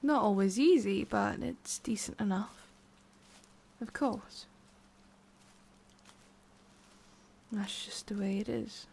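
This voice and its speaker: A woman voicing a haughty, prickly witch with a hidden soft side in an ASMR roleplay, soft and often whispery with a creaky edge. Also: medium pitch, a little slow. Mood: smug, boastful. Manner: soft, often whispery, breathy sighs, curt asides.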